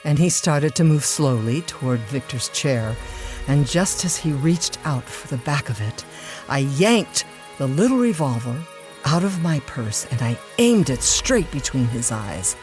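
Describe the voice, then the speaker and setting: A woman acting a cold, commanding plotter in a radio drama, deliberate and theatrical. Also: very deep voice, big pitch swings, darkish tone, soft background music, full character voice. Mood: coldly triumphant. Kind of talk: dramatic first-person radio drama narration.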